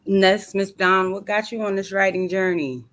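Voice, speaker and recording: A woman's voice: mid-range voice, steady tone, normal speed, fairly bright tone. A woman hosting an online book tour interview, upbeat and chatty. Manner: upbeat, chatty, uses y'all. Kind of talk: casual interview.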